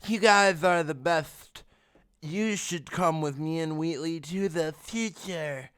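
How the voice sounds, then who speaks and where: A gushing young heroine, as a man puts her on, high-pitched and pleased with herself. The delivery is drawn out and theatrical, for a comic fan-story reading. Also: slow, a bit bright, clean tone, no echo, studio quality. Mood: tearful, fond.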